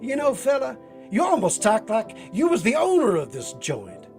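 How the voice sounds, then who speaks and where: An actor playing a cocky, needling adventurer with a folksy drawl in an old-time radio drama. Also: male voice, very high voice, huge pitch swings, moderate pace, vocal fry, a little mellow, soft background music, full character voice. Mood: cocky, challenging. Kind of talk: 1940s radio acting.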